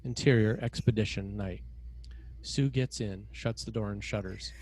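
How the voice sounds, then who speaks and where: A man narrating screenplay action and scene headings in a measured, dramatic way, in short phrases. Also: fairly low pitch, fairly even intonation, a little mellow, a little hiss or hum.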